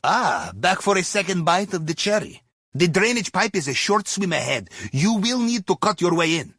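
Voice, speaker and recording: A man playing a wry Russian submarine captain in a video game, briefing a heist crew with deadpan, folksy remarks and a Russian accent. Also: high voice, moderate pace, breathy edge, no room sound, studio quality, exaggerated voice. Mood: wry, focused. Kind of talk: scripted game mission briefing.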